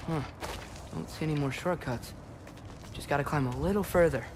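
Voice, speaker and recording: A young man plays a plucky teenage giant boy in a video game, with a high, youthful voice. He talks casually and earnestly, determined underneath. Clear ambient noise sits behind the voice. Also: moderate pace, natural voice. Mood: casual, musing. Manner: casual, earnest, talking to himself. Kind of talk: naturalistic video game acting.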